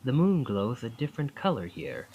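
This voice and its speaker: A man's voice: medium pitch, wildly swooping pitch, moderate pace, highs cut off, noisy background. A man playing a charming young college student who is secretly a possessive, otherworldly captor, in an intimate, coaxing ASMR roleplay. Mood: insistent, eerie.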